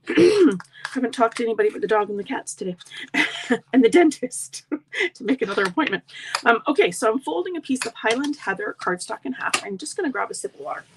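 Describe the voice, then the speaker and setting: A woman's voice: a little high, big pitch swings, a little fast, background noise. A middle-aged woman demonstrating card making in a live tutorial, chatty and friendly, with a slightly froggy voice. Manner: chatty, friendly asides to viewers.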